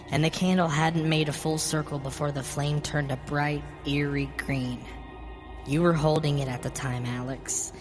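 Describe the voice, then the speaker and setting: A woman reading a scripted first-person horror story as its narrator. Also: low-pitched. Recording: long reverb with music behind. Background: music.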